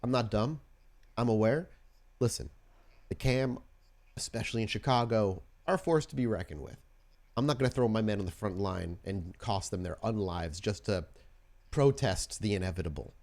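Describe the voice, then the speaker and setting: Man voicing a blunt, low-voiced female vampire boss. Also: lively intonation, moderate pace, a little mellow. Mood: blunt, pragmatic. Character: shrewd, suspicious, blunt female Anarch vampire leader.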